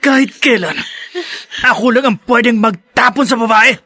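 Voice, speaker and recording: A man's voice: very high voice, normal speed, sharp tone, full character voice. A man with a Filipino accent acting a jealous, violent young lover in a radio drama. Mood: menacing. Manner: sneering, threatening.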